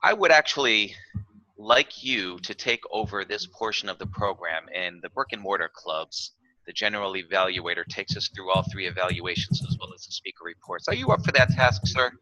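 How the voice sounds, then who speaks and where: A man's voice: deep voice, moderate pace, sharp tone, poor audio. A man chairing an online club meeting over a video call in a formal manner, using set procedural phrases. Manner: formal, uses procedural terms.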